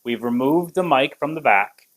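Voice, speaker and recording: A man's voice: medium pitch, lively intonation, normal speed, fairly bright tone. A man in his thirties gives a conversational, step-by-step product demo in an explanatory manner. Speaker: tech reviewer and presenter.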